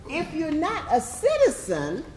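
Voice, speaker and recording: A woman's voice: sits high, wildly swooping pitch, a little slow. A woman performing a staged reading in a call-and-response style. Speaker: readers theatre performer. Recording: echoey room with crowd.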